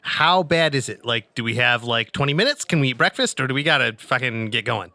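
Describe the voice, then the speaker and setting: A man's voice: mid-range voice, expressive, a little fast, gravelly, bright, forceful tone, dry recording. A man talking casually and bluntly as a player in a tabletop roleplaying game, swearing freely. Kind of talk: casual in-character game chat.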